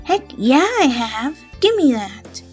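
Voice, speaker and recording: Lady doing a brash excitable tomboy pony, high voice, lively swings, cartoony. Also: takes it slowly, faint music behind, heavily acted. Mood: cocky. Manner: cocky, excitable.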